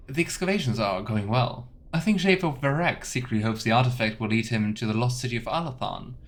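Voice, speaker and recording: A man streaming a game, giving casual commentary, talking to chat and reading in-game text aloud. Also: lowish voice. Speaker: streamer and let's player. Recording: faint game ambience behind voice. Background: faint video game ambience.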